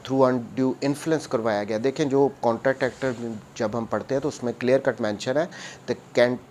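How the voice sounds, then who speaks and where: A man with a Pakistani accent giving an explanatory law lesson as a lecturer, mixing in English legal terms and reading clauses deliberately. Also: medium pitch, a little mellow. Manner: switches between Urdu and English legal terms. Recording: noticeable background noise.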